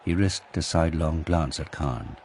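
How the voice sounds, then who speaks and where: A man's voice: a bass voice, expressive, normal speed, darkish tone, exaggerated voice. A man narrating an audio drama in a dramatic, scripted storytelling style. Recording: clear background noise and ambience.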